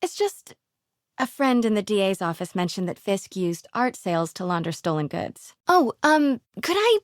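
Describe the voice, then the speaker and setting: A woman playing a plucky, quick-witted young female investigative reporter in a video game, with a breathy voice. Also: medium pitch, very animated, no echo, studio quality, close to an everyday voice. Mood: polite, probing. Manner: hushed muttering to herself.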